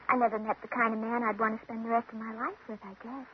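Actress doing a wistful young woman w a crush, soft n coy, highish voice, muffled noisy 50s radio. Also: flat delivery, quick pace, close to an everyday voice. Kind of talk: 1950s radio drama acting.

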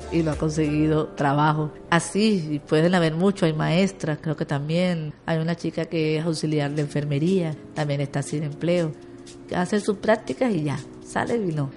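A woman's voice: sits low, fairly even intonation, normal speed, a little mellow, soft background music. A woman, a guest in an interview, talking in an earnest, upbeat way and explaining at length. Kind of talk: conversational interview.

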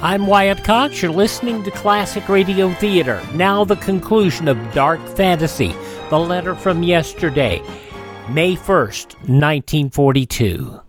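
A man hosting a radio show, announcing and reading ads in a folksy selling manner with emphatic pauses. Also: sits high, over music. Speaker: radio host. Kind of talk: scripted radio announcing and host-read ads.

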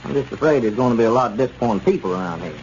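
A man's voice: mid-range voice, lively intonation, very fast, darkish tone, close to an everyday voice. An actor playing a sensible, skeptical small-town man, plain-spoken and wry, in a 1930s radio serial. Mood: skeptical, amused. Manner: plain-spoken, dryly doubtful. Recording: dull, noisy 1930s radio recording.

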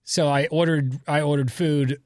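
Hoarse man, slightly raised pitch. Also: fairly even intonation, moderate pace, darkish tone, no echo. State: hoarse, losing his voice. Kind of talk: casual chat.

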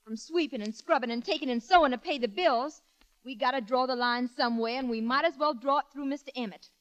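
Woman playing a high-pitched, sharp-tongued landlady's girl. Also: fairly quick, close to an everyday voice. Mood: exasperated. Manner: tart, bossy, sarcastic. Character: sharp-tongued, weary, practical boarding-house landlord's daughter. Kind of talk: 1940s radio comedy acting. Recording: muffled old radio broadcast.

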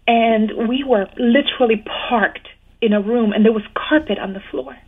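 Female interview guest, earnest measured storyteller, husky voice over a phone-like line. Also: on the high side. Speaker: guest telling her story. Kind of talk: personal testimony in an interview. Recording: tinny phone-line sound.

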